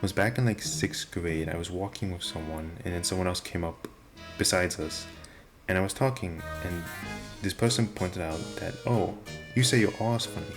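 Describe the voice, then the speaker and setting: A young man with a deep voice and nonstandard R sounds, talking casually and self-deprecatingly to the camera. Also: normal speed. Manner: rambling, self-deprecating, many restarts. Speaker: vlogger. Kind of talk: casual rambling monologue. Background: video game music playing.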